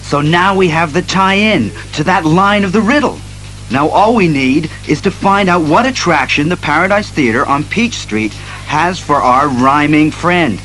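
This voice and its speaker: An actor playing an earnest, methodical masked detective hero who reasons aloud in a declamatory audio drama style. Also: male voice, fairly high pitch, lively intonation, a bit bright, soft background music, rough recording. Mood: earnest, resolute. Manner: deliberate, declamatory deduction.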